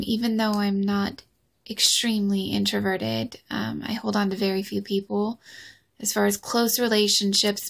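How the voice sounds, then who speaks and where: A young woman with a light, fry-edged voice, speaking slowly into her phone camera. She talks in a halting, thoughtful way, pausing and restarting often. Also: mid-range voice. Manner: hesitant, many ums and restarts. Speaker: vlogger. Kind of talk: casual personal vlog.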